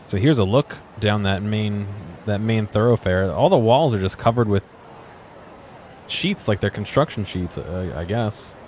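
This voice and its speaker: Male game streamer, lowish voice, casual off-the-cuff exploring commentary with faint street ambience behind. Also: measured pace, darkish tone, slight background noise. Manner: musing aloud, asking himself questions.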